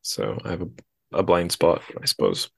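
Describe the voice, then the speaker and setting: A man's voice: sits low, expressive, normal speed, gravelly, husky. A man hosting a casual interview, thinking aloud with tentative phrasing and dry humour. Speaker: podcast host.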